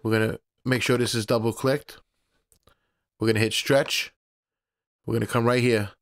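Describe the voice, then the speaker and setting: A man gives a casual tutorial in a smooth, clear voice of medium pitch. He explains each step plainly and informally. The recording is close and dry, with no room sound. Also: fairly even intonation, moderate pace, no echo. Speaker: tutorial presenter.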